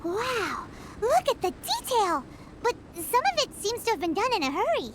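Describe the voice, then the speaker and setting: Game fairy companion: tiny, excitable, squeaky child voice, extremely high and hugely animated. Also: female voice, normal speed, background noise. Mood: curious, amazed. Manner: exclamatory, speaks of self in third person. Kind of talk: video game voice acting.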